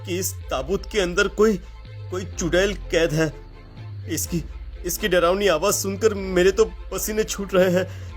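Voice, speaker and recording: A man's voice: high voice, steady tone, unhurried, soft background music. A man voicing a timid younger brother in a cartoon, with a hesitant delivery that repeats words. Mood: scared. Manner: hesitant, stammering repetition. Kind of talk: Hindi cartoon voice acting.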